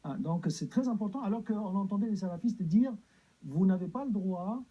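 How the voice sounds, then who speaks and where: A man's voice: high-pitched, lively intonation, moderate pace, mellow, breathy edge, dull audio. A man from Egypt, a guest answering interview questions hesitantly, with many fillers and restarts.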